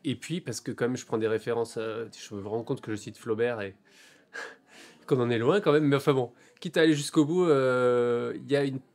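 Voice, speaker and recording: A man in his forties from France, an author talking about his books in a bookshop interview, thoughtful and hesitant, with many pauses and fillers. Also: medium pitch, darkish tone. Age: 40s.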